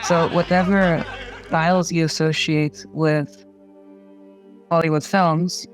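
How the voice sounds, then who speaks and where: A woman's voice: sits low, level tone, slow. A woman from Eastern Europe who works as a filmmaker, talking reflectively in a film discussion. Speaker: filmmaker, commentator. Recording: music playing behind the voice. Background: background music.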